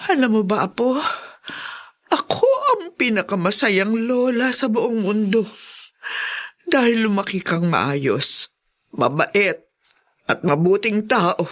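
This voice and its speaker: A woman's voice: medium pitch, lively intonation, slow pace, pauses often. An actress playing a loving, tearful elderly grandmother in a podcast drama. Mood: soothing. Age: older. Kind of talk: podcast radio drama acting.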